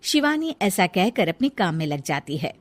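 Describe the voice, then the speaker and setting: A woman's voice: on the low side, sing-song, normal speed, no echo. A woman narrating a scripted family folk tale as a storyteller.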